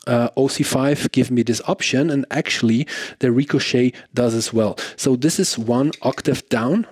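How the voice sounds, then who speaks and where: A man's voice: mid-range voice, moderate pace, darkish tone, husky, dry recording. A man casually explaining and demonstrating gear as a reviewer, talking conversationally and checking in with the listener. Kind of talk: casual product demo talk to camera.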